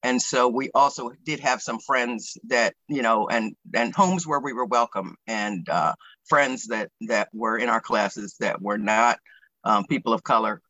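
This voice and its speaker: An American man in his sixties from Pennsylvania, recalling his life in an interview in a reflective, hesitant way, pausing to choose his words. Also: mid-range voice, sharp tone, dry recording, low-quality recording. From Pennsylvania, United States. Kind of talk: oral history interview, personal recollection.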